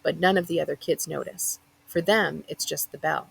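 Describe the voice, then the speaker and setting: A woman reads a children's novel aloud in expressive first-person narration. Her voice sits a little low, with a strong creak and a husky edge. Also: normal speed, rough recording. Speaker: librarian reading a book aloud.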